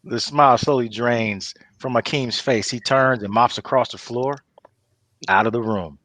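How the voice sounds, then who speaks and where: Male narrator, medium pitch, casual storytelling, reading scene directions for a comedy script. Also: a little slow, a bit bright. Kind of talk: reading stage directions for a comedy table read.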